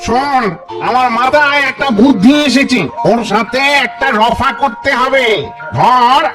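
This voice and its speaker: Crafty nervous ghost, squeaky high voice, deferential, pleading, children's cartoon, clear background music. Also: male voice, a little slow. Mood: scheming. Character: scheming elder ghost brother, anxious and deferential. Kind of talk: Bengali children's cartoon folk tale acting. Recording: music behind the voice.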